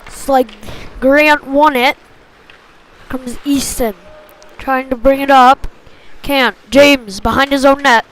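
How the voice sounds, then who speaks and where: High-pitched woman calling hockey play-by-play. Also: steady tone, slow, fairly bright tone, low-quality recording. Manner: short clipped phrases, following play as it happens. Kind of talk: live sports commentary.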